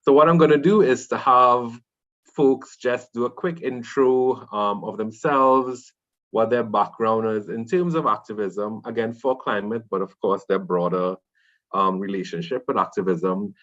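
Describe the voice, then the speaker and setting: A man's voice: medium pitch, unhurried, fairly bright tone. A man opening and moderating an online panel discussion, with frequent hesitant fillers. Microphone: video call. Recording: dull lo-fi video call audio.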